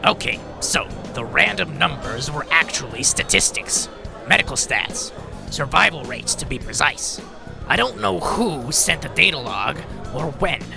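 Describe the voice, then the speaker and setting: A man's voice: on the high side, animated, a little slow, gravelly, music in the background, exaggerated voice. A man playing a worn, grumpy but earnest robot doctor in an audio drama, exasperated and insistent. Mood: grave.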